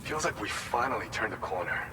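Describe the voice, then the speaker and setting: Low-voiced, earnest outpost leader in reverb , male actor. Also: animated, a little fast, lightly acted. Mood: earnest, grateful. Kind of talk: scripted game dialogue. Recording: long reverb, noisy ambience.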